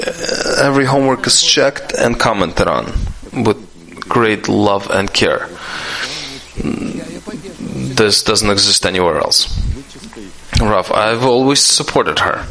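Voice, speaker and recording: A man giving a live spoken interpretation of a question-and-answer session, halting often with restarts and fillers. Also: on the low side, bright, forceful tone, rough recording.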